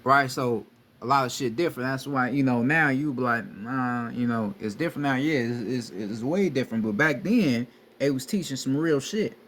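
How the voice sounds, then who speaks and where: A man's voice: medium pitch, normal speed, fairly bright tone, slight background noise. A young man giving a casual, profane lecture on spiritual ideas, talking emphatically and repeating himself. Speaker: spiritual teacher. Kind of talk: casual street-style lecture.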